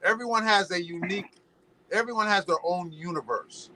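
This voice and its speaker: Guest, male, bright forceful voice, animated slangy storytelling, slight hiss behind. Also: high voice, moderate pace, rough recording. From Los Angeles. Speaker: podcast guest. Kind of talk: casual chat.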